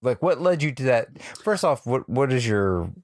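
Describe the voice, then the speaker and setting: A man's voice: mid-range voice, sing-song, moderate pace, a little mellow, dry recording, clean studio sound. A man co-hosting a podcast, speaking hesitantly in casual chat and searching for his words. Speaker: podcast co-host.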